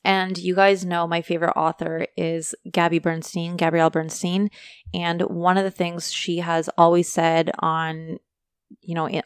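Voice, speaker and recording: A woman hosting a casual motivational podcast, talking chattily to her listeners while her voice is going hoarse. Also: lowish voice, dry recording, studio quality. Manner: chatty, motivational, addresses listeners as you guys. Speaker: podcast host. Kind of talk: casual solo podcast talk.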